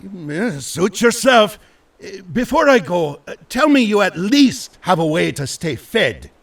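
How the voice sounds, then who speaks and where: An actor playing a gruff but kindly old fisherman in a fantasy audio drama, with a folksy, muttering, rustic way of talking. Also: high voice, big pitch swings, measured pace, distant mic, full character voice. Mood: exasperated.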